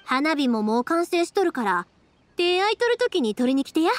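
An actress playing a cheerful, warm-hearted young woman with a Kansai accent in an anime game, speaking in a friendly, breezy, folksy way. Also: sits high, sing-song, normal speed.

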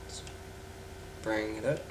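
A man's voice: fairly low pitch, fairly even intonation, takes it slowly. A young man with a cold, casually rambling through gameplay commentary with an offhand manner. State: congested from a cold. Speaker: gameplay video maker. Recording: noisy home mic with keyboard sounds.

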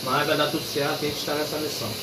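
Fast-talking Brazilian man, high-pitched, noisy room. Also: flat delivery. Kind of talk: casual domestic chat. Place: small tiled home kitchen, indoors. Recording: very noisy, echoey, distant mic.

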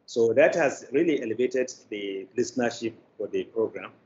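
An older man with a Nigerian accent gives interview answers in a measured, deliberate, formal manner. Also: mid-range voice, animated, darkish tone. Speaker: guest, media company executive. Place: indoor room, video call. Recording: dull, noisy video call.

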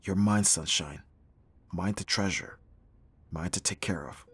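Casting: male actor as abrasive yet tender bodyguard for audio roleplay, lowish and very husky, guarded and halting. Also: fairly bright tone, no room sound, heavily acted. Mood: tender confession. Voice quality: husky, breathy. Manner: halting, sighing, emotionally guarded. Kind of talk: ASMR roleplay acting.

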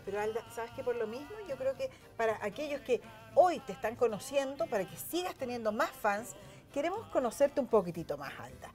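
A Chilean woman with a high voice hosts a television talk show. She is effusive and chatty, exclaiming as she interviews, with some music behind her in the studio. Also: very animated, fairly quick, a little mellow. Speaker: television host.

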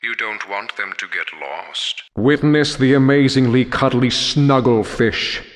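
An ominous aquarium exhibit announcer from a video game played by a man. He speaks slowly with mock-dramatic mystery, and long reverb washes around every phrase. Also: medium pitch, lightly acted. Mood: ominous, wry. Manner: slow, mysterious, mockingly dramatic. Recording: long cavernous hall reverb.

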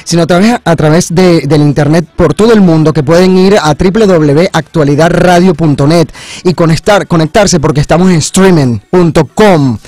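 A male radio host with a higher-than-average voice conducts a conversational interview. He sounds enthusiastic and repeats phrases for emphasis. The studio sound is close and dry. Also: normal speed, a little mellow, dry recording. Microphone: studio microphone on boom arm, headphones.

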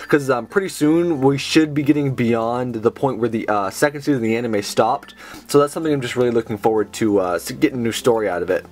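A young man who is sick and has a sore throat, chatting casually with lots of fillers as he reviews his book haul indoors. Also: medium pitch. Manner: chatty, enthusiastic, many fillers. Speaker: manga haul video host. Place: indoors at a table with books.

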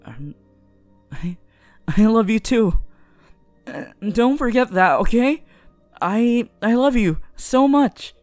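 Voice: mid-range voice, animated, slow pace, long pauses, darkish tone, no echo, faint music behind. A performer voicing a shy, earnest, lovestruck teenage boy hero in an anime roleplay, stammering tenderly. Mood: flustered, loving. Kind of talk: anime fan audio roleplay addressed to the listener.

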